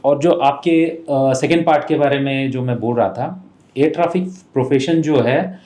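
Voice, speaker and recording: A man's voice: mid-range voice, moderate pace, dark tone. Methodical Indian man in an echoey room. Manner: methodical, breaks points into parts, switches between Hindi and English. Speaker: air force officer interview guest. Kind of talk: explanatory interview talk.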